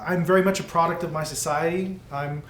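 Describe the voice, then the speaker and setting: A man, a tabletop player, casually and haltingly describing his game character. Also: on the high side, fairly even intonation, fairly bright tone. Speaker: tabletop role-playing player. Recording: echoey room with faint noise.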